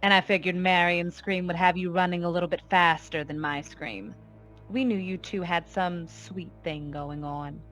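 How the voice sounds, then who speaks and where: A woman's voice: fairly low pitch, steady tone, fairly bright tone, faint music behind, lightly acted. An actress playing a cold, commanding female gangster boss in a noir radio play, taunting and full of threat. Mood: scornful taunting. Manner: taunting, deliberate, imperious. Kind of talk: 1940s radio noir acting.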